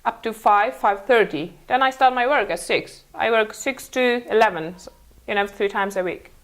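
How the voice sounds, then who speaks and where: A young woman from Mongolia with a Mongolian accent, answering casually in halting, self-correcting non-native English. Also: fairly high pitch. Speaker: interview guest, international school teacher.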